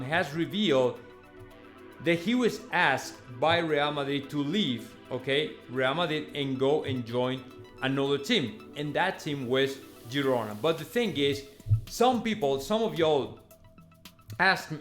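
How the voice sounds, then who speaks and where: A man talks casually to his audience as a football host. His delivery is conversational and emphatic, with repeated phrases and checking tags at the ends of thoughts. Light music plays underneath. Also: a little high. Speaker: football vlogger and fan channel host. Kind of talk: casual news commentary to viewers.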